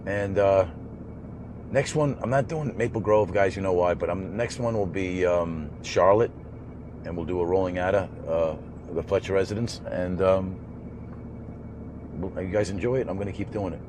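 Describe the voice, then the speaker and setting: Hoarse man vlogging from the road. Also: low-pitched, normal speed. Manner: rambling, talks to viewers while driving, mutters at traffic. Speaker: vlogger driving home from a race. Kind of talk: casual driving vlog chat. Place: inside a moving vehicle on the road. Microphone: camera mounted in the vehicle. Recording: loud road noise behind.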